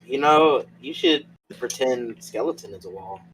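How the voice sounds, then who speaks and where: A man's voice: mid-range voice, expressive, normal speed, a bit bright, poor audio. A man playing a tabletop roleplay, bantering casually with slangy teasing, with some background noise. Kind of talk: casual banter during a game.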